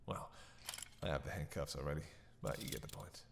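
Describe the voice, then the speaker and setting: A man's voice: a bass voice, fairly quick, slight background noise. A man playing a captive vampire in a dramatic roleplay, witty, flirtatious and menacing, with a sarcastic, teasing drawl. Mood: teasing sarcasm. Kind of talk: dramatic roleplay monologue to the listener.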